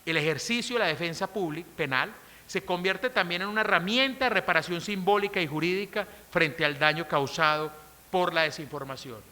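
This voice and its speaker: Colombian woman speaker, middle-aged, firm emphatic lecture delivery, piercing bright tone, echoing conference hall. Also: fairly low pitch, fairly quick. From Colombia, coffee region. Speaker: conference speaker on criminal law. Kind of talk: formal academic lecture, partly read from a text.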